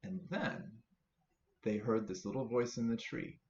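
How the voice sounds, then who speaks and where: A man's voice: mid-range voice, measured pace, a little mellow. A man tells a children's story in a roomy, slightly muffled recording. He narrates playfully, repeating phrases for emphasis, with faint noise behind him. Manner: repetitive, playful emphasis with sound words.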